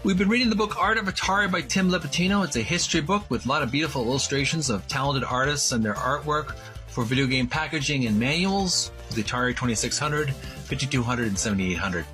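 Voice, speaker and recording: A man hosting a video with a bright, forceful voice that rises and falls a lot. He speaks in deliberate, emphatic chunks, breaking up his phrases as he presents and reads aloud. Music plays faintly behind him. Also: medium pitch, animated. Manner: deliberate, emphatic, broken phrasing when reading. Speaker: host of a video series on retro game art. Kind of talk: casual presenting and slow, halting read-aloud from a book.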